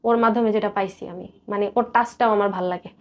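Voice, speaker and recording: A Bangladeshi woman in her thirties, speaking as a guest confiding her life story in an interview, in a hesitant, halting way. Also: mid-range voice, fairly even intonation, a little mellow. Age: 30s. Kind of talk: personal confession in interview.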